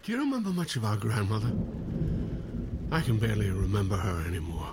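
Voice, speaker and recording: An actor playing a forgetful brother reaching for memories, halting and unsure, in naturalistic audio drama. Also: male voice, on the low side, wildly swooping pitch, very noisy.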